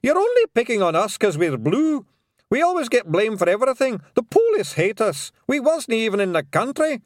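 A man voicing a small, boastful Scottish fairy warrior who blusters and shouts in a Scottish accent. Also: high-pitched, very animated, normal speed, no echo, clean studio sound, voice pushed up. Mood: desperate.

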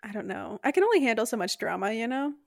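A woman in her twenties co-hosting a podcast, playful and quick with asides. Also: on the high side, big pitch swings, gravelly, dry recording, clean studio sound. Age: 20s. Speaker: podcast co-host. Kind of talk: casual chat.

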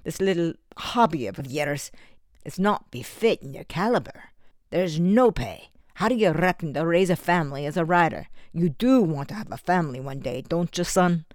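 A man voicing a stern, lecturing Scottish merchant who is a middle-aged foster father, with a gruff Scottish accent. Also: a little high, animated, normal speed, dry recording, studio quality, close to an everyday voice.

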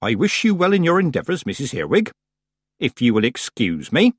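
A stern old countrywoman witch, as a male narrator puts her on for a storybook. The pitch sits above a man's natural talk and moves expressively, while the delivery stays measured and commanding. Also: husky, no room sound, clean studio sound. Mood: icy, guarded. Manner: calm, deliberate, rustic grammar.

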